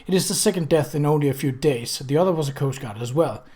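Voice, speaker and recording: A man's voice: a little high, quick pace, darkish tone, close to an everyday voice. A man voicing a firm, proper older aunt who answers matter-of-factly. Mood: brisk.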